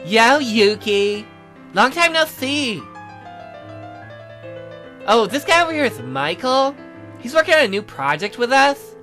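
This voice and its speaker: A woman's voice: fairly high pitch, very animated, measured pace, long pauses, fairly bright tone, soft background music. A woman voicing an upbeat, teasing young female classmate in a visual novel game, breezy and casual. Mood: cheery. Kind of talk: visual novel character dialogue.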